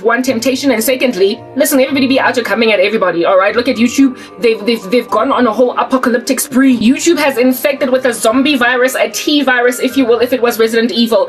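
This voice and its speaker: A South African woman in her forties, talking casually to camera in an emphatic, colloquial rant that repeats and restarts words. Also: a little high. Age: 40s. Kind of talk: casual ranting monologue to camera.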